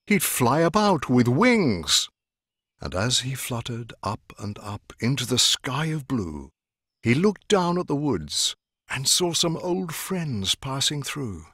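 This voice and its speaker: A man narrating a rhyming children's bedtime story in expressive, measured verse. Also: mid-range voice, husky, no echo, studio quality.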